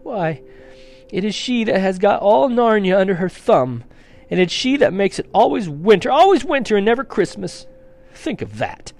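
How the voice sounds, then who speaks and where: A man's voice: on the high side, expressive, unhurried, vocal fry, a little mellow, dry recording, voice pushed up. A man voicing a gloomy, guilt-ridden fawn in a dramatic storybook reading, sobbing and wailing as he confesses. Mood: anguished confession. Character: weepy, guilt-ridden male fawn, gloomy and self-reproaching.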